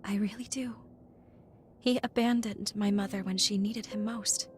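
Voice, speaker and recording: Caring woman physician for a game drama, played by an actress: gentle, articulate, emotive, music underneath. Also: mid-range voice, fairly even intonation, unhurried, natural voice. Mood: bitter, wounded. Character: caring, earnest woman doctor nursing her patient, quietly resentful. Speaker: doctor character. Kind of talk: dramatic video game cutscene acting.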